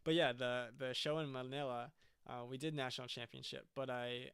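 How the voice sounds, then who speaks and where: A man's voice: mid-range voice, steady tone, fairly quick, gravelly, fairly bright tone, smooth. A young man, a band's singer, chatting casually on a livestream and often stopping to restart his sentences. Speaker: singer and band frontman.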